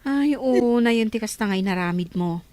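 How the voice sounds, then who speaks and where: An actress playing a caring older sister figure who questions and scolds gently in a radio drama. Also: female voice, a little high, expressive, moderate pace, no echo, lightly acted. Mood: concerned. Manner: probing, concerned.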